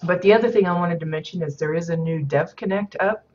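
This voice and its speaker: Woman, low voice, hoarse, stuffed up, rambling livestream chat, slightly dull audio. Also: fast, a little mellow. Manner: rambling, many ums and uhs. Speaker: game livestream host.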